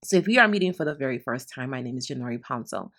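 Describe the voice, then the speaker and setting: Low voiced new woman, raised in the bronx, doing a heartfelt solo podcast, repeats stuff for emphasis. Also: expressive, rapid-fire, fairly bright tone. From Honduras, raised in the Bronx, New York. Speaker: podcast host and mentor. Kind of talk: reflective solo podcast monologue.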